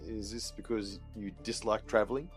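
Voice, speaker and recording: An actor playing a probing, inquisitive interviewer from a future society in an audio drama. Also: male voice, on the low side, fairly even intonation, normal speed, faint music behind, natural voice. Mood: curious, probing. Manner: probing, questioning.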